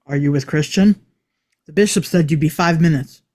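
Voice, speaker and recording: A man's voice: a little high, moderate pace, darkish tone, close to an everyday voice. A man playing a nervous young soldier in a dramatic sci-fi table read. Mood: nervous, questioning.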